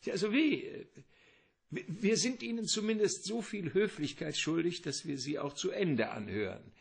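A man playing a genial, scheming older crime boss in a German radio play, courteous and wordy, with a husky, creaky voice. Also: high-pitched, animated, heavily acted. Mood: doubtful, placating. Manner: avuncular, unctuous, deliberate hesitations.

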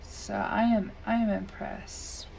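Scratchy gravelly woman rambling slow while coloring, faint hiss behind. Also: medium pitch, level tone, darkish tone. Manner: unhurried, rambling, many ums. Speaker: coloring video creator. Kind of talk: casual chat while coloring. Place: indoors at a desk. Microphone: overhead camera above desk.

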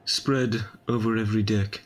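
A man playing an ancient, quick-witted time traveller in an amateur audio drama. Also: on the low side, little pitch movement, natural voice. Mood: brisk, wry.

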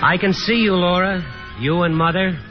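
A man's voice: high voice, steady tone, moderate pace, lightly acted. Man doing a wistful dramatic son in old radio drama, reflective, dull sound with music. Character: restless, wistful dreamer son, haunted by memory. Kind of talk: 1950s radio drama acting and narration.

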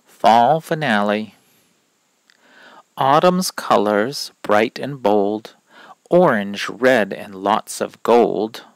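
A man reading a rhyming children's picture book aloud in a deliberate, instructive manner. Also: fairly low pitch, no room sound, low-quality recording. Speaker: read-aloud reader.

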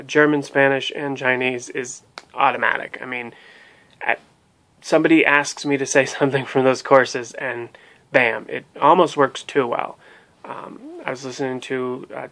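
Guy in his 30s talking to his webcam at home, kinda gravelly, lots of ums and restarts. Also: medium pitch, bright, forceful tone. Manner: hesitant, many ums and restarts. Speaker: language learning vlogger. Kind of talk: casual spoken review. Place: small room at home, indoors. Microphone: webcam at desk.

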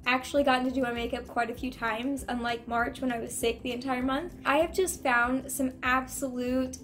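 High-voiced young girl vlogging in her bedroom, croaky from a cold, gushy and chatty. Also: fairly even intonation, moderate pace, a bit bright, soft background music. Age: young adult. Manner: chatty and gushing, with many fillers and repeats. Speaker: beauty vlogger. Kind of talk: casual product review chat. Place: bedroom, indoors, small.

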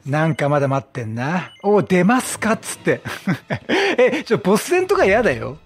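A man's voice: high voice, very animated, normal speed, husky. A man giving casual let's play commentary, chatty and teasing as he reacts to the game. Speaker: video game streamer. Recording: game music behind the voice.

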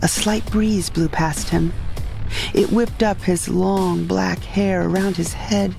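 Woman, low voice, sci-fi audio drama narration, dramatic storytelling, background music. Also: steady tone, slow pace, creaky, rough recording. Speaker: narrator and lead character. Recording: music behind the voice.

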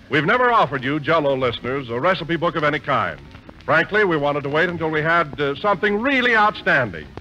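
A man announcing and selling a product in a 1940s radio comedy, hearty and smooth, recorded in a theater. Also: pushed and bright, lo-fi sound. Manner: hearty, smooth salesman pitch. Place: theater stage with live audience.

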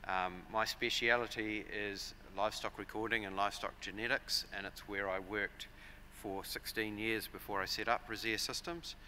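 A man in his forties with a New Zealand accent, giving a measured, explanatory conference talk at a lectern with frequent fillers and restarts. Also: fairly low pitch, fairly even intonation, pushed and bright, a little hiss or hum. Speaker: conference speaker. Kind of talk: conference presentation. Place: large conference hall, at a lectern. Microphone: lectern microphones.

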